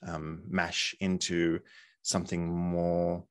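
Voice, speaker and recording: A man in his forties from Poland, talking over a video call in a hesitant, thoughtful way with many pauses. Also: deep voice, monotone, dry recording, clean studio sound. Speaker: artist. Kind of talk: casual interview. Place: home room, video call.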